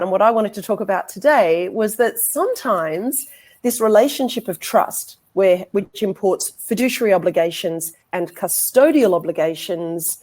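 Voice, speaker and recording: A woman's voice: medium pitch, warm tone. Australian woman lecturing on a video call. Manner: articulate, measured, with frequent ums. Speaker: law lecturer presenting. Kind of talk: conference presentation. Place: indoor home office with bookshelves. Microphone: wired earbuds on a video call.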